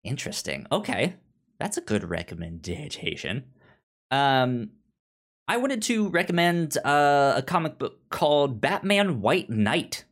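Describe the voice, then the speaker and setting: A man co-hosting a podcast, explaining things in a halting, informal, chatty way. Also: medium pitch, sing-song. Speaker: podcast co-host discussing comics. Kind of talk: casual chat.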